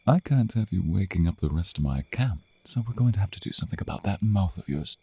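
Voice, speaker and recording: A man playing a suave, menacing, teasing vampire captor in an intimate ASMR roleplay, with theatrical, purring, drawn-out phrasing. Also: low-pitched, lively intonation, dark tone, husky, dry recording, heavily acted. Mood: hushed, teasing. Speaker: roleplay voice performer.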